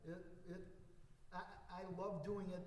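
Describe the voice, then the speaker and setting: A man on an alumni panel who speaks earnestly, with a loud voice and frequent repeated words. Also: sits high, flat delivery, unhurried, a little hiss or hum. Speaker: alumni panelist, former bandleader. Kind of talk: panel discussion. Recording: echoey hall.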